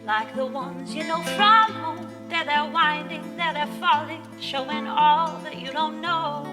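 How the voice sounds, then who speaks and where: A woman's voice: high-pitched, unhurried. A woman singing a musical theater song live in a barn, accompanied by plucked guitar. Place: barn, small live performance. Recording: reverberant live barn recording.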